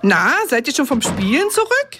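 Gently chiding kindergarten teacher. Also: female voice, high-pitched, wildly swooping pitch, normal speed, background noise. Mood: amused. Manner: warmly chiding, amused. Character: kindly female kindergarten teacher.

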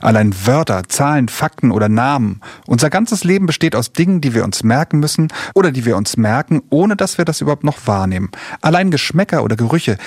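Husky man presenting radio talk. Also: mid-range voice, a little mellow, no room sound. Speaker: radio talk show co-host and band member.

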